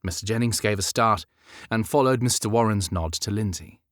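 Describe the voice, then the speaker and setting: A man reading a historical romance audiobook in a measured, expressive storytelling manner. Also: on the low side, no echo, clean studio sound. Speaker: audiobook narrator. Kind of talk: scripted literary narration of a historical romance.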